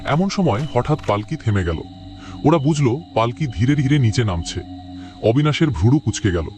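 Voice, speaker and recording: A man's voice: mid-range voice, animated, normal speed, darkish tone, soft background music. A man narrating a horror cartoon story in a grave, suspenseful way.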